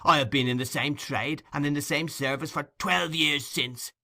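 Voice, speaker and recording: A man's voice: fairly high pitch, fairly even intonation, moderate pace, sharp tone, voice pushed up, full character voice. A man voicing a furious, desperate young woman thief in a storybook, pouring out her words with vehement passion. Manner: vehement, torrential, screaming outbursts.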